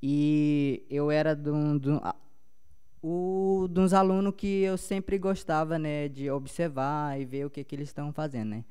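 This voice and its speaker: A young man with a Paumari accent, a native Paumari speaker, giving a halting talk at a panel with many restarts. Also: on the high side, fairly even intonation, mellow, smooth.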